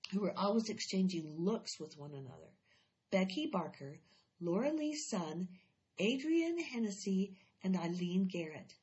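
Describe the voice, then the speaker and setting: A woman reading a children's chapter book aloud in an expressive, animated way. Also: medium pitch, muffled recording. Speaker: storyteller.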